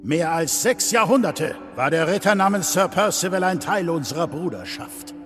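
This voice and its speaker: An actor playing the solemn, grave leader of a knightly order in a game drama, speaking in ceremonial, measured oration. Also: male voice, fairly high pitch, expressive, a bit bright, faint music behind. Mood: solemn, mournful.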